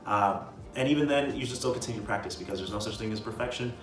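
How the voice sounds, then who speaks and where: A man speaks in a roomy, echoing space, some way from the mic, with music behind him. His voice sits on the low side and moves quickly. He talks like a casual self-improvement vlogger, emphatic and slangy, circling back to drive his points home. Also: distant mic. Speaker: video creator giving self-improvement advice. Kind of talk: casual motivational talk to camera.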